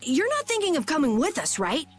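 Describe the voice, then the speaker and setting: A woman voicing an excitable, earnest young kid adventurer in a video game, eager and emphatic. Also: high-pitched, a little fast. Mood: suspicious. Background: game music.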